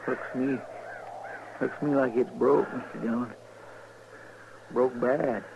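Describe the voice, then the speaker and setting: An actor playing a loyal, anxious deputy in an old radio western, with a folksy rural American drawl and halting, deferential speech. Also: male voice, mid-range voice, fairly even intonation, vocal fry. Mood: grim, subdued. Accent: rural American West drawl. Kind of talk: 1950s American radio drama acting. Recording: noisy, muffled vintage radio recording.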